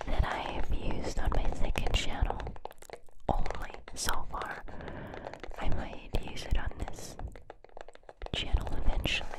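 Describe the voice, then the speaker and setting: ASMR creator, woman, slow close whisper, congested, unhurried. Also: poor audio. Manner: slow, unhurried whispering with soft trailing phrases. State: congested from a cold. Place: home room with string lights. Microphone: close binaural mic.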